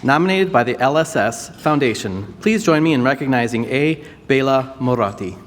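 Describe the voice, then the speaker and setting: A man's voice: mid-range voice, expressive, moderate pace. A man presenting an award, introducing the recipient in a formal, ceremonial manner. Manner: announcing, ceremonial. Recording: distant hall with faint noise.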